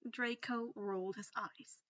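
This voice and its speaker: A woman's voice: medium pitch, fairly even intonation, unhurried, fairly bright tone, no room sound, highs cut off. A woman reading a scripted fan fiction story aloud as its narrator.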